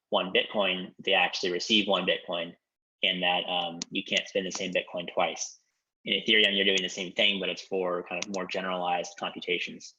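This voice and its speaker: Low, flat-voiced man on a conference call. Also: slight background noise. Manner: measured, explanatory, frequent ums and you-knows. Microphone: conference call line. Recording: dull lo-fi conference call audio.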